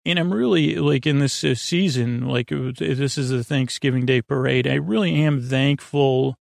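Easygoing guy rambling on a sleep podcast, a bit of vocal fry, dry close mic. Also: mid-range voice, normal speed, no room sound. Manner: meandering, self-interrupting, friendly rambling. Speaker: podcast host. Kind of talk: rambling bedtime podcast intro.

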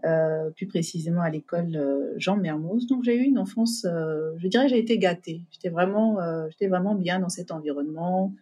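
A woman's voice: lowish voice, moderate pace, few pauses, mellow, breathy edge. A middle-aged woman, a guest in a remote interview, talking in a hesitant way with many fillers and restarts. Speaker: podcast guest, entrepreneur. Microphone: remote call.

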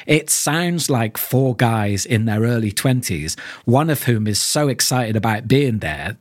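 A man's voice: medium pitch, expressive, measured pace, no echo, studio quality. A middle-aged man co-hosting a podcast, talking in a reflective, searching way and leaning on key words. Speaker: podcast co-host. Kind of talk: reflective discussion of audio drama.

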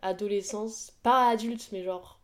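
A woman's voice: mid-range voice, steady tone, measured pace. A young woman of about twenty co-hosting a podcast, talking hesitantly with casual slang. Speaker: podcast co-host. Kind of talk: casual chat.

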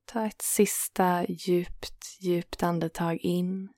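Low, even-voiced woman guiding relaxation. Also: no room sound, studio quality. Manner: calm, soothing, unhurried with gentle pauses. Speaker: meditation guide and host. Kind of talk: guided yoga nidra relaxation.

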